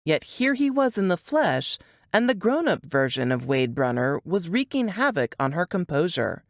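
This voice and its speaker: Female audiobook narrator, deep register, expressive range, very dry studio sound. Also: normal speed, no room sound. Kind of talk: scripted fiction narration.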